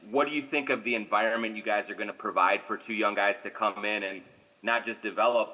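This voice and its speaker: A man's voice: fairly low pitch, pushed and bright. A man asking a question as a reporter at a press conference. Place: indoor press conference room. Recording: reverberant press room.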